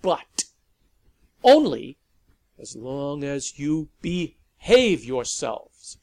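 A man doing a gruff, barking woman vampire. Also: on the high side, sing-song, a little slow, a little mellow, no echo, pitched above the performer's own voice. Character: tough, foul-mouthed woman vampire, protective.

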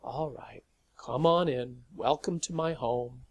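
A man voicing a gruff, exasperated man in a storybook reading. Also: medium pitch, slow. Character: gruff irritable man, plaza owner.

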